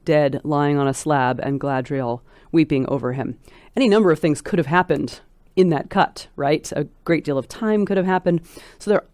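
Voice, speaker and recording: Woman, expert interview guest: emphatic, scholarly delivery, mid to low register, very dry recording. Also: darkish tone, no echo. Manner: articulate, emphatic, scholarly phrasing. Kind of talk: analytical, explanatory interview commentary.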